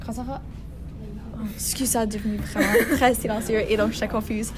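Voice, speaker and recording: A woman's voice: on the high side, steady tone, brisk, a crowd in the background. Hesitant teen girl, lots of fillers. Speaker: student in a class discussion. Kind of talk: classroom round-table discussion.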